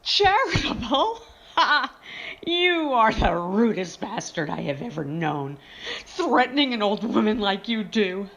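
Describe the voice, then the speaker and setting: A woman's voice: mid-range voice, very animated, a little slow, fairly bright tone, a little hiss or hum. Casting: actress for elderly female vagrant in a horror radio play, plaintive, indignant, given to muttering to herself. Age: older. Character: weary, indignant homeless old bag lady who mutters to herself. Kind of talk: audio horror drama acting.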